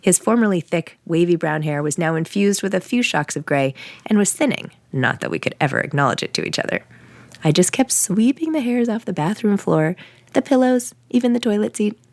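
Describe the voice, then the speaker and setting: A woman's voice: mid-range voice, animated, brisk, creaky, a little mellow, dry recording. A middle-aged woman with an American accent, an author talking casually and reading aloud in the first person. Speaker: author and podcast host.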